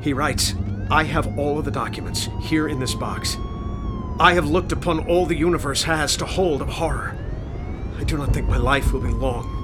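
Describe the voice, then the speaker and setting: An actor playing a troubled investigator with an earnest, grave manner in a radio drama. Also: male voice, fairly high pitch, moderate pace, background noise, lightly acted. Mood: grave urgency. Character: troubled investigator reading out a grim letter.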